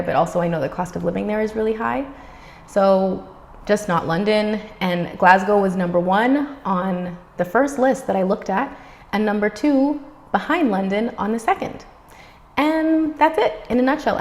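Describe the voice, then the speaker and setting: A woman's voice: medium pitch, moderate pace, darkish tone. A woman in her thirties from the Bahamas with a neutral, American-sounding accent, chatting upbeat to camera as a vlogger. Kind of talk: casual talk to camera. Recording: reverberant room sound.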